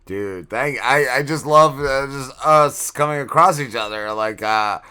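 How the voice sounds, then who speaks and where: A man hosting a comedy show, chatting casually and informally, often halting and repeating his words. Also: medium pitch, sharp tone, clear, resonant tone. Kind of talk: casual interview chat.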